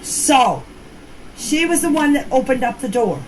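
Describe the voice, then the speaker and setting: Higher-voiced woman in noisy voice-message clips. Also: big pitch swings, measured pace. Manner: plain-spoken, rambling, folksy phrasing. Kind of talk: casual personal account in recorded voice messages.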